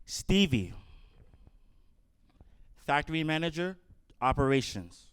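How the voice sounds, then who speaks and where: A man narrating a script for a staged reading, announcing each item in a measured way. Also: fairly high pitch, sing-song, smooth, no echo. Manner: measured, announcing items one by one.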